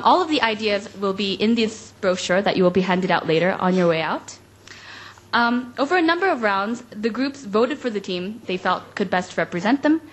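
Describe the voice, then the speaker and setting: A woman's voice: medium pitch, fairly bright tone, poor audio. Young woman giving a formal speech. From South Korea. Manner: formal, measured reading. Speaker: youth delegate. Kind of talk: prepared speech at a forum.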